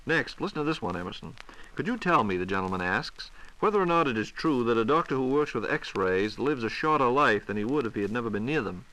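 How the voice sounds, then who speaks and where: A man hosting a 1940s radio question program, reading out listener questions in a polite, crisp announcer manner. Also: mid-range voice, animated, fairly quick, sharp tone, rough recording. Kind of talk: semi-scripted 1940s radio question-and-answer. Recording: 1940s radio broadcast recording.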